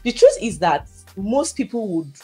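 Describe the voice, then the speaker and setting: A woman's voice: medium pitch, sing-song, unhurried, dark tone, soft background music. A woman hosts a conversational debate, explaining her points insistently. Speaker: host.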